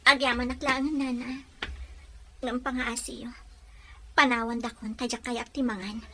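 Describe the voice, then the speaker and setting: A woman's voice: fairly high pitch, level tone, moderate pace, fairly bright tone, slight background noise, close to an everyday voice. A woman playing a wounded, defiant young daughter in an emotional, melodramatic radio-drama style. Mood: wounded, despairing. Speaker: actor in an audio drama.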